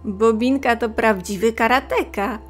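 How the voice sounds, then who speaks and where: Higher-pitched woman narrating a children's story. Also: measured pace, soft background music. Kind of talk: scripted storytelling for young children.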